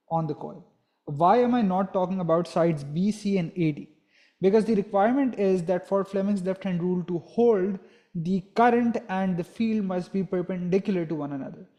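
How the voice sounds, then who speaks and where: A man's voice: high-pitched, warm tone. A young man giving a physics lesson, explaining step by step and often checking in with a "right?". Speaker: physics teacher.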